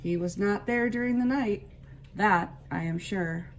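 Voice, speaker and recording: A proud, strong buck who boasts in a steady, measured voice near the reader's own in a storybook reading, as a woman acts it out. Unhurried and sure. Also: medium pitch, slight background noise, close to an everyday voice, rough recording.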